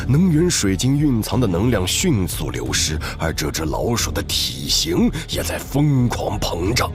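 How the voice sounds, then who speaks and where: A man narrates a story in a low register. His scripted delivery is dramatic, with lively swings in intonation.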